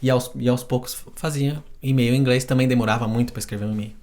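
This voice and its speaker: A man's voice: mid-range voice, steady tone, fast, darkish tone. A male interview host in casual chat, chiming in to finish his guest's thoughts.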